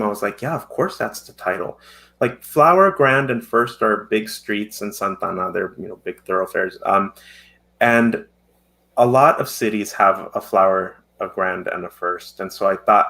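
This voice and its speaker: A man's voice: fairly low pitch, a bit bright. A man in his thirties whose first language is Spanish, talking thoughtfully as a poet guest in a casual interview. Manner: thoughtful, reflective.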